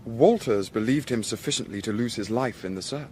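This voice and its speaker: An actor playing a cool, clever gentleman investigator in a period radio drama, precise and drily unruffled, with an English accent. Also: mid-range voice, fairly quick, slight background noise, close to an everyday voice. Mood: analytical.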